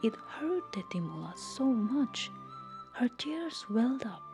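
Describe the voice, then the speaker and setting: A woman storyteller reading a scripted folktale in a soft, measured, expressive read-aloud way. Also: a little high, music in the background.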